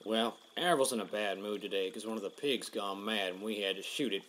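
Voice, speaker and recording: A man playing a surly, slow-witted rural farmer thug with a folksy, menacing way of talking. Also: lowish voice, very noisy, close to an everyday voice. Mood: grim. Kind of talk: animated comic dub acting.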